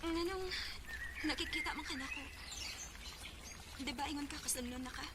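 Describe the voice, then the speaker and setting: A woman's voice: high-pitched, moderate pace, natural voice. An actress with a Cebuano Bisaya accent playing a hurt, reproachful young student girlfriend in a radio drama. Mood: reproachful, resigned. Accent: Filipino, Cebuano Bisaya. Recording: very noisy audio with bird chirps.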